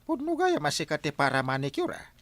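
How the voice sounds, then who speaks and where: A man's voice: on the high side, huge pitch swings, brisk, a bit bright, no echo. A man playing a dutiful, curious village captain with an Ilocano accent and a questioning manner in an audio drama.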